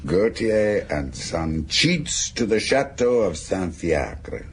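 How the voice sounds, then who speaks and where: An actor playing an attentive, genial friend in a radio drama, conversational and gently inquisitive. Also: male voice, sits low, very animated, takes it slowly, a little hiss or hum, full character voice. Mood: curious, genial. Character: attentive, genial male writer friend, listening and probing.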